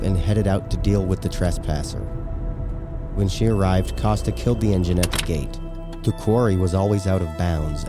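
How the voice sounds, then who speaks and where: A man narrates a scripted horror audio drama in a deep voice. Music plays clearly underneath his storytelling.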